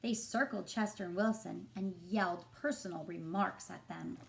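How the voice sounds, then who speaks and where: A woman reading a picture book aloud to children as a teacher, in an expressive, deliberate and engaging way. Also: fairly low pitch, creaky, slight background noise, rough recording. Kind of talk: expressive storybook narration for children.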